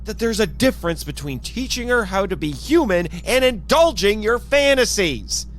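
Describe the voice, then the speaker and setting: Man acting an exasperated, high-pitched, swooping team leader. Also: normal speed, noisy background. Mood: exasperated, scolding. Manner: expressive, sighing, hesitant. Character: exasperated, scolding but contrite male team leader. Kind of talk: fan audio drama acting. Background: background music.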